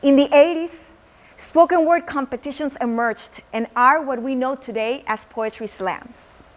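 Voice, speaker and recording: Casting: Mexican-accented woman, high voice, spoken word artist and teacher. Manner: slow, measured poetic delivery with Spanish words.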